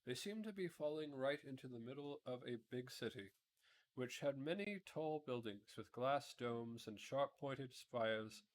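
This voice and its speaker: A man's voice: medium pitch, lively intonation, unhurried. A man narrating a children's fantasy book aloud, often stumbling over words and correcting himself. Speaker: amateur storybook reader, streamer.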